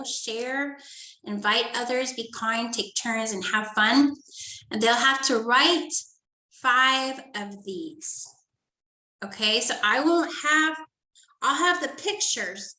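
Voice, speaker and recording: A woman teacher reading a story to young children and going over a study guide, talking gently and checking in with "okay?" often. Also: fairly high pitch, roomy sound, poor audio. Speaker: first grade teacher.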